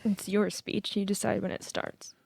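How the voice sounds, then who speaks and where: An actress playing a bitter young adult daughter of a politician in an audio play, sarcastic and confrontational. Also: female voice, mid-range voice, level tone, normal speed, gravelly, darkish tone, slightly rough, no room sound. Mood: cold, cutting.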